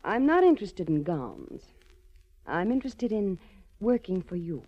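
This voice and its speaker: A woman's voice: mid-range voice, sing-song, unhurried, warm tone. An actress playing a cool, self-assured female crime boss in a 1940s radio drama, poised, haughty and coolly seductive. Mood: imperious, confident. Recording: muffled 1940s radio broadcast recording.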